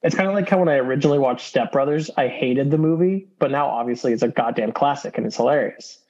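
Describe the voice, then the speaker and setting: A man's voice: medium pitch, fast talker, darkish tone, highs cut off. A man co-hosting a casual movie podcast, swearing often and quoting scenes with energy. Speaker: podcast co-host.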